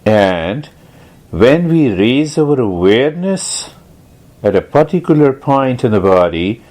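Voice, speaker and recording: A man teaching guided meditation in a deliberate, explanatory manner, repeating key phrases and posing questions as he instructs. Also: medium pitch, very animated, darkish tone.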